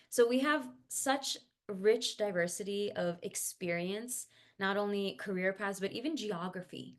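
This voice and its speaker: A woman's voice: medium pitch, smooth. A woman hosting an online panel talk over a video call, speaking casually with fillers and restarts and encouraging the audience. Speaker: host and founder of a climate career coaching agency. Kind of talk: webinar hosting.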